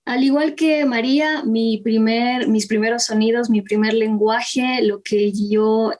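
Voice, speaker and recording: A woman's voice: on the high side, fairly even intonation, measured pace, darkish tone. A woman from Chimborazo, Ecuador, whose first language was Kichwa, answering as a guest singer hesitantly with many fillers. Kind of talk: interview answer.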